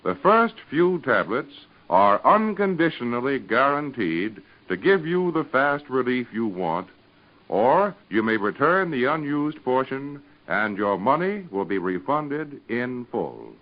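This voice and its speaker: A man's voice: medium pitch, very animated, unhurried. An American man reading a scripted vintage radio advertisement as an announcer. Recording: dull vintage radio broadcast recording.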